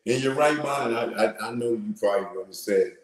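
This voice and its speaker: A man's voice: mid-range voice, fairly quick. Big-voiced man in an echoey room. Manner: animated, slangy, acts out quoted voices. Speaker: commentary show host. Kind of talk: casual live commentary. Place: small room indoors. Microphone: wired earphone mic.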